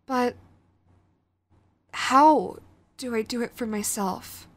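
A very high-voiced, clingy yandere girlfriend, acted by a woman in an intimate audio roleplay. She speaks slowly and hesitantly, sweet and remorseful as she pleads with the listener. The sound is close and dry. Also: no room sound. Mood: hesitant, earnest. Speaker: voice actor.

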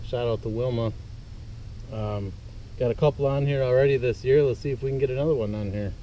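A man's voice: mid-range voice, brisk. A young man talking casually to viewers from a small fishing boat on a lake, recorded by a boat-mounted camera a few feet away. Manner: relaxed, chatty, addresses viewers as guys. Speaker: fishing vlogger. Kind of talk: casual outdoor vlog talk. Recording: dull, slightly distant boat-camera audio. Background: faint outdoor boat and water noise.

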